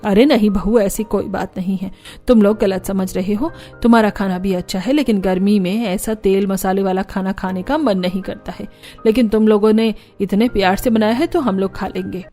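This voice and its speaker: A middle-aged mother-in-law from a cartoon family tale, put on by a woman. She speaks in a lowered, homely tone, kindly and placating, over faint music. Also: steady tone, normal speed, dark tone, lightly acted. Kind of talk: cartoon story character voice.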